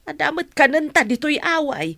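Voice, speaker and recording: A woman's voice: high voice, very animated, moderate pace, gravelly, bright, forceful tone, no echo, full character voice. An actress playing a caring, principled village mother with a grown son in a radio drama. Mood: chiding. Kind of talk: Philippine radio drama acting.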